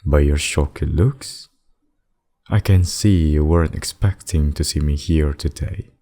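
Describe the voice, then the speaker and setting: Male actor as demon king, very deep, dark mellow tone, smug, possessive, courtly, theatrical menace, ASMR roleplay. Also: unhurried. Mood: smug, menacing.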